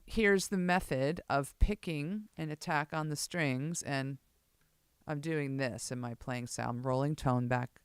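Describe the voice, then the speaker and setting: A woman bassist with a low voice, hosting a live session and chatting casually and self-jokingly to her viewers as she rehearses. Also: unhurried, clean tone, dry recording, studio quality. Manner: rambling, self-joking, addresses viewers as "guys". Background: loud bass guitar and music.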